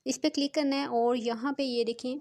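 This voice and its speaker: A woman's voice: sits high, fairly even intonation, brisk, darkish tone. A woman narrating a step-by-step app tutorial as the channel's host.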